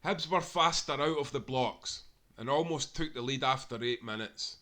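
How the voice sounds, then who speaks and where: A man's voice: medium pitch, normal speed, bright, forceful tone. A Scottish man reading aloud a football history tale, earnestly and with small self-corrections along the way. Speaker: narrator.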